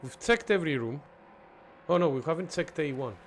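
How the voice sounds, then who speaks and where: A man's voice: on the high side, lively intonation, brisk, slight background noise. A young man from Greece streaming a horror game, chatting casually with viewers and reacting as he plays. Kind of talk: casual gameplay commentary.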